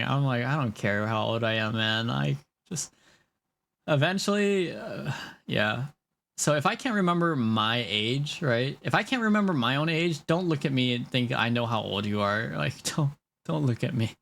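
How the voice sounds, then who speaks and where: A young man in his late twenties streams live and chats loosely with his viewers while he works on a drawing. His mid-range voice has a gravelly, creaky edge. He rambles, loses his train of thought and laughs easily. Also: mid-range voice, big pitch swings, normal speed, a bit bright. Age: 20s. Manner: rambling, chatty, laughs often, talks to chat.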